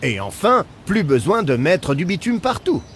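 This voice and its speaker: A man voicing an excitable, boastful inventor in a cartoon, with an enthusiastic salesman's delivery. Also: on the high side, normal speed, slight background noise. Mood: excited, proud. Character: excitable, boastful male inventor of robotic scooters. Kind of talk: cartoon voice acting.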